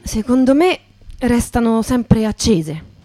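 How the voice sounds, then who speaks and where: A woman acting in poetic radio theatre as a wondering, solemn storyteller, with a measured, lyrical delivery. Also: fairly high pitch, expressive, dark tone. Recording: guitar music behind the voice.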